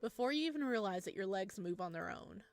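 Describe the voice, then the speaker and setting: A woman's voice: medium pitch, lively intonation, natural voice. A woman reading a visual novel as a solemn, suspenseful narrator of a creepy horror story. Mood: tense. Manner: slow, hushed, suspenseful. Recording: music behind the voice.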